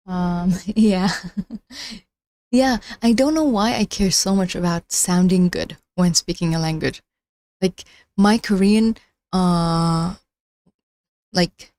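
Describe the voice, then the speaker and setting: A woman's voice: mid-range voice, darkish tone, no room sound. A young woman whose first language is not English, chatting casually and rambling on a live stream from her phone, with lots of restarts and giggles. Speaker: livestreamer.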